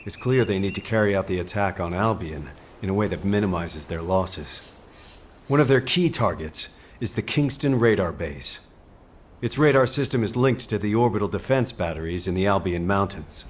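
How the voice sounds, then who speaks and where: A man playing a composed, caring military officer in a video game, giving grave briefings in a measured, formal, earnest way. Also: deep voice, animated, a little hiss or hum. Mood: serious, focused. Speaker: mission commander giving briefings. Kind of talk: scripted video game dialogue.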